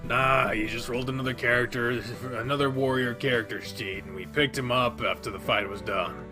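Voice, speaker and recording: A man voicing an excitable young male animal-person who boasts about his tabletop game characters. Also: medium pitch, fairly quick, music in the background, lightly acted. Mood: chatty. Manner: giggly, boastful storytelling.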